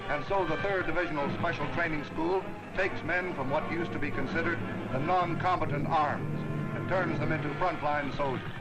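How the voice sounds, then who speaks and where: A man narrating a wartime newsreel in a brisk, stirring announcer's delivery. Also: on the high side, poor audio. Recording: old newsreel soundtrack with loud music.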